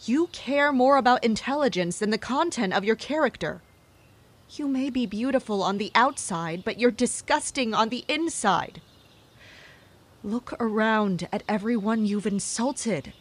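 A woman's voice: fairly high pitch, expressive, measured pace, slightly rough. Woman acting a composed, stern guard captain. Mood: stern, blunt. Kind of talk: audio drama acting with inner monologue.

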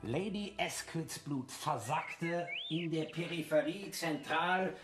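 An actor in a radio play playing a grumpy village constable who pompously dictates a crime novel, halting to correct himself. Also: male voice, on the high side, music in the background. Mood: grumpy, dramatic.

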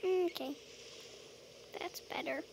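High-pitched woman, slow casual roleplay. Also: gravelly, background noise. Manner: murmuring to herself with little hums. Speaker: gameplay video player. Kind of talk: casual solo roleplay as a dragon while playing.

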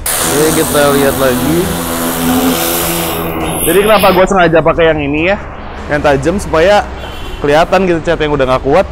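A man's voice: fairly high pitch, normal speed, long pauses, poor audio. A young man chatting casually and jokingly while he washes and inspects an old scooter in an open garage. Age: young adult. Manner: relaxed, joking, slangy, talks while working. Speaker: vlogger. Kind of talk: casual vlog chat. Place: open garage courtyard, outdoors. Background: music.

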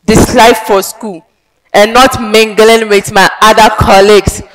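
A young woman with a Ghanaian accent performing a theatrical advocacy monologue on a large indoor stage, emphatic and declamatory with sudden loud swells. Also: mid-range voice, fairly even intonation, unhurried, low-quality recording. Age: young adult. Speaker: pageant contestant.

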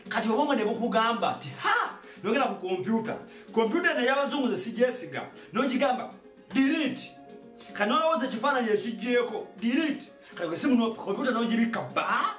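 A young man with a Ugandan accent and a very high voice hosts an informal comic monologue. He is animated, exclaims often and repeats his phrases, picked up from a distance with music behind him. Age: young adult. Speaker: host of an online TV show. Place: small indoor studio with padded backdrop. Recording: roomy echo, distant microphone, music behind.

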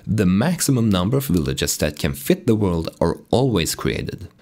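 A man with a very low, raspy voice, hoarse from being sick, talks through code in a steady tutorial. His pitch moves around a lot as he explains each step, keeping the delivery lively despite the rasp. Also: moderate pace. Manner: calm explanatory walkthrough. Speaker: game dev tutorial host. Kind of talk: screen-recorded coding tutorial narration.

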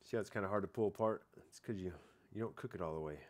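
Laid-back bearded vlogger man, lower voice. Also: rapid-fire. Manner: laid-back, rambling, hedging with "I don't know" and "like". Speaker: fishing vlogger. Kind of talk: casual catch-and-cook vlog. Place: outdoor patio at night. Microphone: head-mounted camera.

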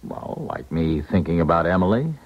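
An actor playing a cautious, reasoning colleague and confidant in a 1970s radio drama. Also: male voice, sits low, big pitch swings, moderate pace. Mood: calm. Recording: dull 1970s radio recording, music behind.

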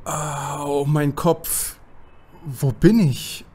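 A man playing a bewildered, whiny young detective in a parody audio drama, with a husky voice. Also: on the high side, fairly even intonation, drawn-out words, a little mellow, full character voice. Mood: groggy, alarmed.

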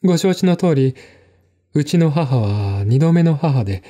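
A man playing a composed, articulate man in a one-man audio drama, explaining things in a measured, reflective way. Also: on the high side, lively intonation, warm tone. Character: composed, articulate man recounting his stepmother's death.

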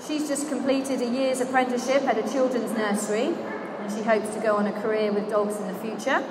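High-voiced woman announcing at a show. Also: steady tone. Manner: slow, declamatory announcing of names. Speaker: ring announcer. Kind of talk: public-address announcing. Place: large indoor exhibition hall. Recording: crowd and hall noise.

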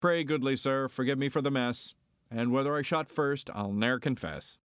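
Cocky smuggler pilot, played by a man, medium pitch, Shakespearean verse, close dry sound, audio drama. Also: normal speed, fairly bright tone, clean tone, no room sound, natural voice. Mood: cocky, wry. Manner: declaiming archaic verse. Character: cocky roguish smuggler pilot with wry conscience. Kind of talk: amateur Shakespearean dramatic reading.

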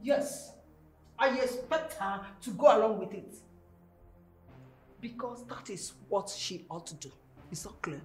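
A woman's voice: a little high, a little slow, a little mellow, lightly acted. An actress playing a domineering, greedy middle-aged mother with a Nigerian accent in a screen melodrama, scolding and confrontational. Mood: defiant, indignant. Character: domineering, greedy, quarrelsome mother of a grown daughter. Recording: roomy sound with background music.

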